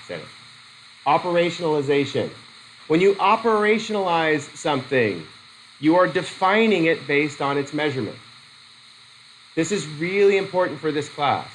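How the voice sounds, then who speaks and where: A middle-aged man with a cold teaches a methods class, his voice sitting a little high for a man. He stops and restarts his sentences as he puts questions to the students. A faint hiss runs under the classroom recording. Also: poor audio. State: has a cold. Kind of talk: classroom lecture. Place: classroom with a whiteboard.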